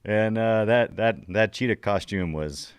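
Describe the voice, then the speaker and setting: A man's voice: on the low side, unhurried, smooth, dry recording, studio quality. A middle-aged man hosting a podcast in a casual, jokey, rambling chat. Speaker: podcast host.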